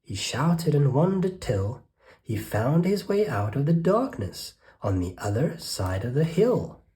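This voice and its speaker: A storyteller reading a rhyming children's picture book aloud with rhythmic, expressive delivery. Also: medium pitch, measured pace. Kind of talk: children's read-aloud narration.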